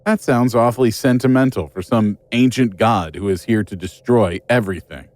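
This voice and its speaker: Troubled male investigator, played by an actor: lower register, self-destructive, guarded, hurt, for audio drama. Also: moderate pace, a little mellow, no room sound, close to an everyday voice. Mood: wounded, wary.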